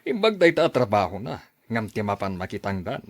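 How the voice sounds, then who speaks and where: A man's voice: on the low side, sing-song, a little fast. A man playing a greedy, cunning crime boss in an audio drama.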